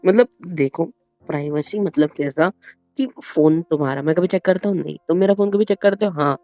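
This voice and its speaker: A man's voice: a little high, a little fast, warm tone, dry recording, faint music behind. A man in the role of a husband, arguing casually at home, protesting and often repeating his words. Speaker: husband in a prank video. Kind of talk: casual domestic argument.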